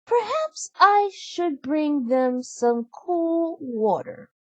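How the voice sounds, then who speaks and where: Sweet, cheerful young princess for a children's storybook, voiced by a woman. Gentle, pleased, slow delivery. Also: high-pitched, sing-song, no room sound, muffled recording, voice pushed up, exaggerated voice. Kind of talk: children's storybook read-aloud.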